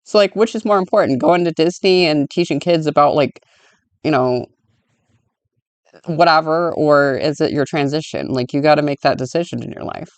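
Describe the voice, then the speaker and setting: A woman's voice: low-pitched, moderate pace, dry recording. A woman hosting a reaction commentary, talking bluntly and emphatically with frequent swearing. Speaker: commentary host.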